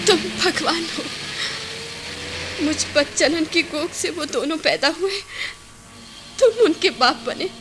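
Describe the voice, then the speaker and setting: Poor devoted mother, radio melodrama: high, tremulous, humble, faint background noise. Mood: grateful, emotional. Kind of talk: melodramatic radio play acting.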